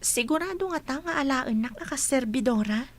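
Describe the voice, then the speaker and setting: Woman playing a nosy teasing bestie, really high voice, chatty drama scene. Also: animated, moderate pace. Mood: brisk. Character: nosy, teasing young female friend. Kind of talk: audio drama acting.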